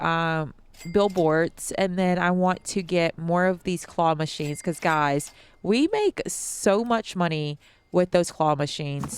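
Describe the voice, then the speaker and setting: Slow-talking stuffy-nosed woman gamer. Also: lowish voice, a little hiss or hum. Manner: chatty, addresses viewers as guys, frequent ums and restarts. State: slightly stuffy nose. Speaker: gaming channel host. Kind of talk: casual let's-play commentary.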